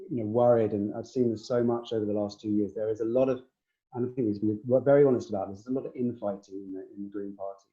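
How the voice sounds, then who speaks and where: A man's voice: fairly low pitch, mellow, highs cut off. A man speaking as a leadership candidate at a hustings, earnest and hesitant, with many fillers. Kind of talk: hustings speech.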